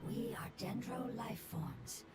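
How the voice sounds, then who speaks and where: Ancient, gentle elemental creature. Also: female voice, on the high side, sing-song, creaky, loud music behind the voice, heavily acted. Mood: calm, explaining. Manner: measured, formal, explanatory. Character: ancient gentle fungus-shaped elemental life form, wise parent. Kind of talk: video game voice acting.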